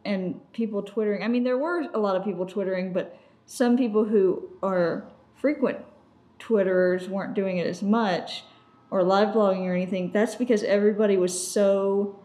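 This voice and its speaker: A woman's voice: medium pitch, fairly even intonation, moderate pace, mellow. A woman co-hosting a podcast, chatting casually and informally. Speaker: podcast co-host. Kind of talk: casual conversational podcast chat.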